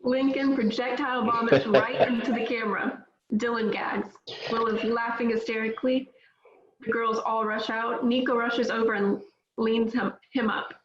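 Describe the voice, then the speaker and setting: A woman's voice: on the high side, steady tone, normal speed. A woman reading scripted stage directions as narrator at a table read, in roomy, slightly dull audio.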